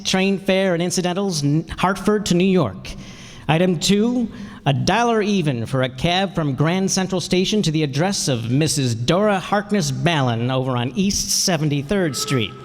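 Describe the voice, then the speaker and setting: A man acts out an insurance investigator from a radio detective show. His voice sits a little high, brisk and matter-of-fact, with clipped curiosity. A long hall reverb surrounds it. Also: expressive. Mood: wry, businesslike. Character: brisk, businesslike male insurance investigator narrating his case. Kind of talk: old-time radio detective narration and dialogue.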